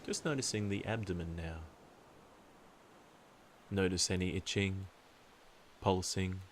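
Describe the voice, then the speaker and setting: A man narrating a guided meditation in a calm, soothing, deliberate way, leaving long pauses. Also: sits low, a little hiss or hum.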